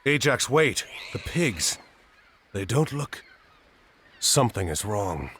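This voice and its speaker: An actor playing a bold, resolute military leader in a video game, decisive and commanding. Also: male voice, lowish voice, sing-song, slow pace, fairly bright tone, a little hiss or hum, heavily acted. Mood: wary, steady. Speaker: hero commander. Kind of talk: heroic video game cutscene acting.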